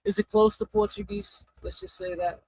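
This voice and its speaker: A man hosting a casual livestream, talking in a rambling, halting way and often breaking off mid-thought. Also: high voice, level tone, a little mellow, slight background noise, low-quality recording. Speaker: livestream host.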